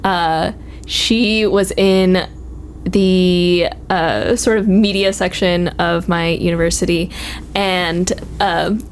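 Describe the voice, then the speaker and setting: A woman's voice: on the low side, fairly even intonation, slow. A woman in her twenties chatting and telling personal stories casually as a guest, with some noise behind her. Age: 20s. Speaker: university program administrator, guest. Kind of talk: casual conversational storytelling. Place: office. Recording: noticeable background noise.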